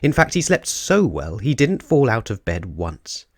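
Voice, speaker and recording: A man narrating a children's storybook as an expressive storyteller. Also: mid-range voice, unhurried, no room sound, clean studio sound.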